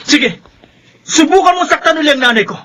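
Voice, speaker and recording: Resentful filipino teen son, high forceful voice, confrontational, radio drama, bit of noise behind. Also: male voice, expressive, a little fast, full character voice. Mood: angry. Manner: indignant, confrontational. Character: resentful, protective teenage son of a nanny. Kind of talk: Philippine radio drama acting.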